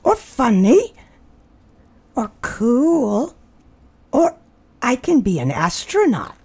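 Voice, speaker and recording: A woman's voice: fairly high pitch, wildly swooping pitch, slow pace, a little mellow, breathy edge, voice pushed up, exaggerated voice. A woman voicing an innocent, cheerful young male mole rat who loves clothes, playfully, for a children's storybook. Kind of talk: children's storybook read-aloud.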